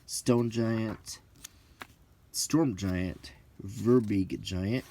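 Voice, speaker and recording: A middle-aged man giving casual, unscripted commentary as he leafs through a book, rambling and halting, musing aloud in short phrases. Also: fairly low pitch, mellow, slightly rough. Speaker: host of a hobby channel.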